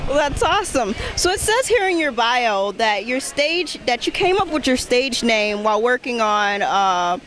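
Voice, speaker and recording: A woman's voice: fairly high pitch, sing-song, normal speed, hardly any pauses, a bit bright. Woman interviewer with faint background noise. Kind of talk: casual convention interview, reading questions.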